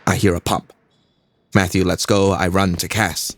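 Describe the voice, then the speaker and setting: Low-voiced, introspective young Singaporean fighter. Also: male voice, level tone, unhurried, vocal fry, a bit bright, exaggerated voice. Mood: tense, focused. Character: earnest, introspective young male MMA fighter telling his story. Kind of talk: scripted first-person audio drama narration.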